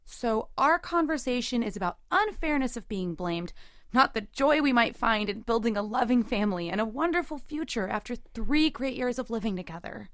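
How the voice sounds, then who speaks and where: A woman acting a resolute thirty-four-year-old girlfriend in an intimate audio drama about a breakup, measured and hurt. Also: on the high side, expressive, fairly bright tone, no echo, studio quality. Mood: firm, frustrated.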